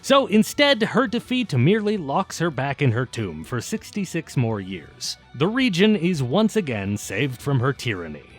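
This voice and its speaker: A man's voice: on the high side, huge pitch swings, normal speed, hardly any pauses, faint music behind. A man narrating a scripted but conversational video essay in a wry, casual, emphatic way with some swearing. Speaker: video essayist and game reviewer.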